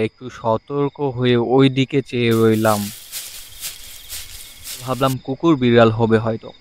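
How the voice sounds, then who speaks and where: Suspenseful male horror narrator, mid-pitched. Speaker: first-person story narrator. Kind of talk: scripted horror story narration.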